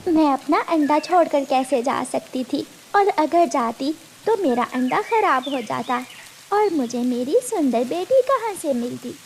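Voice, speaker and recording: A woman voicing an earnest, gentle mother bird in a children's cartoon. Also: high voice, expressive, unhurried, a little hiss or hum. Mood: earnest, reflective. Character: gentle, brave mother bird telling her daughter memories. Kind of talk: Hindi children's cartoon storytelling.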